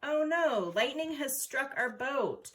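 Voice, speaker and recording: A woman's voice: high-pitched, animated, slow pace, noisy background. A woman giving step-by-step craft instructions for children in a playful, informal way. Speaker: origami instructor.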